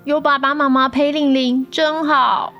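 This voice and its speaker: A woman's voice: sits high, flat delivery, a little slow, bright, forceful tone, highs cut off, full character voice. A woman voicing a sweet, whiny sick little girl with a thin childlike voice in a radio drama. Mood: soft, sleepy. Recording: music under the voice.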